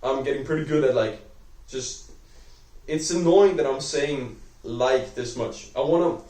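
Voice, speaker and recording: A man's voice: medium pitch, a little slow, dark tone, recorded from a distance. Young man, Danish accent, rambling, lots of "like", roomy echo, mic set back. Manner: rambling, heavy use of "like", self-correcting. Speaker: vlogger. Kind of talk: unscripted talk to camera. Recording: echoey room, voice off-mic.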